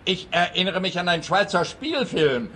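Over clear background noise comes a blustering policeman, with a raised male voice. He is officious and dense, handing out threats like regulations in a comic radio play. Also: high voice, sing-song, moderate pace, pushed and bright, exaggerated voice. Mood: self-important.

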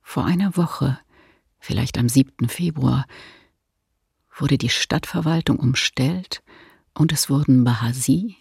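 An actress playing a sombre middle-aged diarist in a radio documentary drama, reading soberly in a husky, gravelly voice. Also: female voice, extremely low pitch, measured pace, long pauses, darkish tone, no room sound, studio quality, full character voice.

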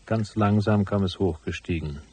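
An actor playing a cool, laconic private investigator who narrates his case in a radio drama, with a husky voice. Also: low voice, normal speed, darkish tone, slight background noise, close to an everyday voice. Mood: cool, brooding. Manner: laconic, matter-of-fact.

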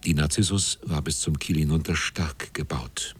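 A man narrating a German radio drama from a script, husky, dry and measured in his storytelling. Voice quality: very deep, husky.

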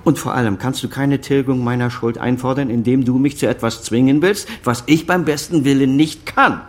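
A man's voice: mid-range voice, normal speed, close to an everyday voice. An actor playing a proud senior doctor and estranged father, over fifty, speaking formal, articulate in a radio drama. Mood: defensive, earnest. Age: middle-aged or older, over fifty. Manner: formal, articulate, self-justifying. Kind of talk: German radio drama dialogue.

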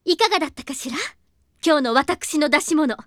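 A woman's voice: high voice, fairly quick, dry recording, studio quality, natural voice. A woman playing a haughty, self-assured young lady in an anime audio drama, aloof, ladylike and condescending. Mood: smug, confident. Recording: music clearly behind the voice.

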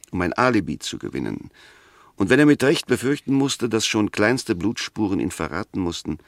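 A defence lawyer in a sixties radio drama, with a fairly low voice in a dry studio sound. He is articulate and formal, building each point methodically with rhetorical emphasis. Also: gravelly, no echo. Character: measured, incisive male defence lawyer arguing a murder case. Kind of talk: 1960s German radio play acting.

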